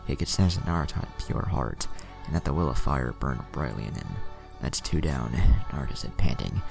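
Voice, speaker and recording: A man's voice: a bass voice, big pitch swings, fairly quick, vocal fry. A man reading scripted fan fiction as a narrator. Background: music.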